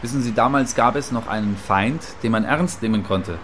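A man's voice: mid-range voice, moderate pace, a bit bright, a little hiss or hum, close to an everyday voice. A man playing a provocative, philosophizing radio commentator who muses and questions in a radio play. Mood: musing, nostalgic.

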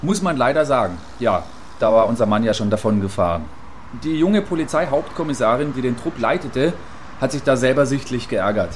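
A man's voice: mid-range voice, lively intonation, fairly quick, natural voice. Man acting as field reporter over background noise. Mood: reporting. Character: eager, apologetic male field reporter giving eyewitness account. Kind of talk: satirical radio play as live news report.

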